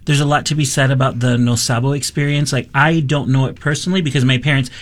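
A man co-hosting an interview who asks the guest animated, searching questions. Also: mid-range voice, fast. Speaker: co-host. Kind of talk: casual interview chat.

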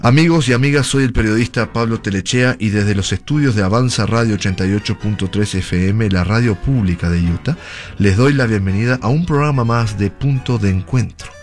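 A man with a low, deep voice hosts a radio program from a studio. His delivery is measured and formal, like a practiced announcer. Soft music plays faintly behind him. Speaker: radio journalist and host.

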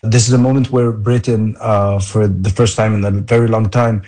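Low, mellow-voiced man giving a statement. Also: little pitch movement, moderate pace. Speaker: interviewee. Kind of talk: recorded statement.

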